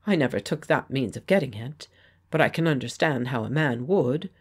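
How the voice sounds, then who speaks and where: A woman reading the part of a pleasant, confident gentleman painter who speaks with quiet authority. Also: a bass voice, expressive, moderate pace, slightly rough, exaggerated voice. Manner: pleasant, firm, persuasive. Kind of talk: audiobook character voice.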